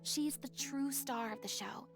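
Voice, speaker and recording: A woman's voice: a little high, measured pace, gravelly. An actress playing a commanding young female stage star, stern but caring, in theatrical, lecturing video game dialogue. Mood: decisive. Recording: game music behind the voice.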